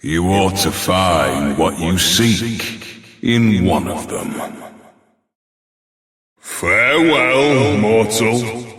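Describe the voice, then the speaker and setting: An ancient wish-granting dragon lord for a fantasy video game. He is imperious and grandly amused by mortals, drawing out his words very slowly with big theatrical swoops of pitch. The voice rings in a long, hall-like reverb. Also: expressive, a bit bright, exaggerated voice. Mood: knowing, magnanimous. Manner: grandiose, theatrical, drawn-out delivery.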